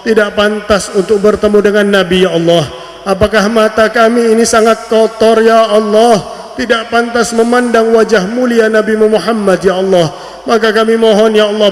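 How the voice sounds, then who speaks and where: Male prayer leader, high voice, pleading and reverent, steady near-monotone intonation, echoing hall. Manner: pleading, reverent, drawn-out repeated invocations. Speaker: Islamic preacher leading congregational prayer. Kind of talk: supplication prayer, partly chanted. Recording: echoey hall with long reverb.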